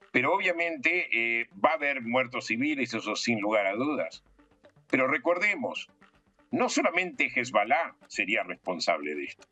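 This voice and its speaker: A man's voice: medium pitch, sharp tone. A man commenting on politics in an interview, explaining emphatically and posing rhetorical questions.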